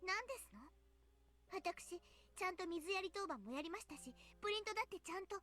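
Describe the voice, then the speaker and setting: A woman's voice: very high-pitched, animated, racing, sharp tone, faint music behind, full character voice. An actress voicing a small, proud girl in a game, speaking in prim, overly polite phrasing. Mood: defensive. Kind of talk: anime game voice acting.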